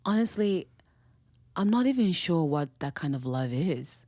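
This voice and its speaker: A woman playing a candid, hesitant young woman talking softly with a new lover in an intimate, naturalistic audio drama. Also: on the low side, very animated, a little slow, no room sound. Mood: hesitant, reflective.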